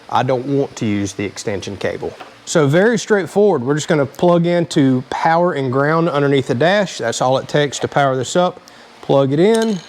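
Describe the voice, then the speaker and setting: A man's voice: mid-range voice, a little hiss or hum. A man hosting a casual hands-on DIY tutorial, talking in a plain-spoken, explanatory way. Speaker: host of a DIY vehicle channel.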